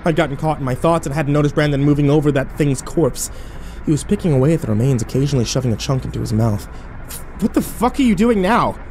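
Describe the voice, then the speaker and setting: A man playing an overwhelmed, foul-mouthed young man who narrates his ordeal haltingly in a horror audio drama. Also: on the high side, expressive, a little mellow, slight background noise. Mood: puzzled. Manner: halting, self-interrupting, swearing, incredulous.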